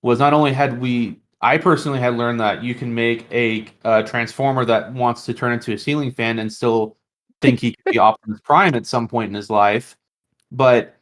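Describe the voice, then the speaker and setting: A man's voice: medium pitch, a bit bright. A man hosting a podcast, chatting casually and enthusiastically about tabletop games. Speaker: podcast host.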